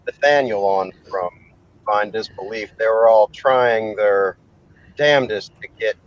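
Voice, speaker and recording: A man hosting a casual chat, relaxed and amused as he recalls stories. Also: on the low side, measured pace, dull audio.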